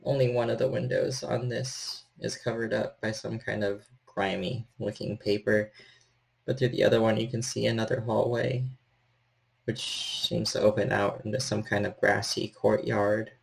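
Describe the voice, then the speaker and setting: A man working as storyteller, narrating a horror role-playing game in a measured, descriptive way. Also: on the low side, level tone, creaky.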